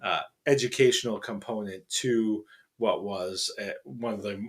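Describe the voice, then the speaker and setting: A man's voice: lowish voice, a little slow, slight background noise. Middle-aged male co-host, casual and rambling, fills gaps with ums. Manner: rambling, many fillers and restarts. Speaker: podcast co-host. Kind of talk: casual chat.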